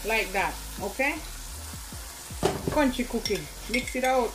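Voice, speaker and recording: Jamaican woman, chatty cooking vlog, fairly high voice, frying pan sizzling in the background. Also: expressive, slow pace. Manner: chatty, keeps calling to viewers. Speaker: cooking vlogger. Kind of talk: casual cooking demonstration. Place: home kitchen at the stove. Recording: sizzling pan and kitchen noise behind.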